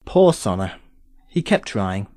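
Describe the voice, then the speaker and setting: A man's voice: on the low side, big pitch swings, darkish tone, no echo. Immortal sorcerer played by a man, audio drama: suave, teasing, formal, swooping mid-range voice, very dry sound. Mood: evasive, defensive. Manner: measured, theatrical, formal phrasing.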